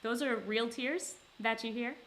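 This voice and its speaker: A woman's voice: on the high side, steady tone, measured pace, a bit bright, a little hiss or hum. A woman chatting casually on a livestream Q&A about singing a role, rambling a little with many ums. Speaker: singer and voice actor in a fan musical. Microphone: handheld microphone.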